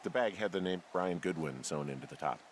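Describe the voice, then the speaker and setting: An actor voicing an easygoing American fire lookout in a video game, conversational, joking and deadpan. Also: male voice, deep voice, a little fast, heavy background noise. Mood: curious, wry. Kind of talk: naturalistic video game dialogue. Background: faint background music.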